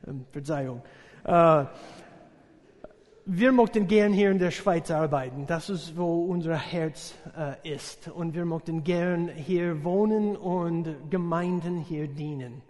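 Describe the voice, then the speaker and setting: A middle-aged American man with a notably high voice preaches a sermon in a language he learned later, his native English showing through. He halts often and fills gaps with "uh" while joking warmly with his listeners. His voice rings through a church hall with a long, echoing tail. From USA. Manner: halting, searching for words, many fillers, jokes with congregation. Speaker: visiting missionary preacher.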